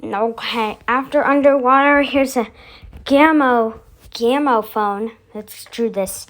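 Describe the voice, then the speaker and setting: A woman's voice: on the high side, slow, fairly bright tone. A woman hosting casually, musing aloud as she announces each step of a voice effects demonstration. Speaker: video host.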